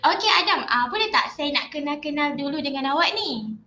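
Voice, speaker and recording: Bright chatty woman podcast host. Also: sits high, expressive, brisk. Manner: chatty, peppers speech with "okay, alright". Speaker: podcast host interviewing a guest. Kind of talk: casual chat interview. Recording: roomy dull lo-fi sound with faint noise.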